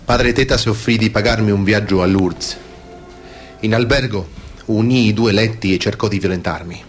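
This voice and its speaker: A man's voice: fairly low pitch, normal speed, soft background music, natural voice. An actor playing an adult male abuse survivor, reciting grave testimony for radio theatre in a sober, restrained way.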